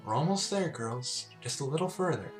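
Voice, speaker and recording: A man's voice: mid-range voice, animated, moderate pace, music in the background, voice pushed up, lightly acted. A man voicing a thoughtful, reassuring young female pony who leads her friends, articulate and encouraging, in a story reading. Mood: reassuring, focused.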